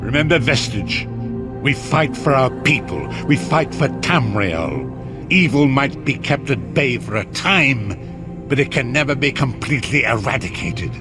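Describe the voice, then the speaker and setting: A man's voice: medium pitch, animated, unhurried, over music, lightly acted. An actor playing a wise, solemn male prophet and leader in a fantasy game, grave and exhorting, like an orator. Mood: rousing, solemn.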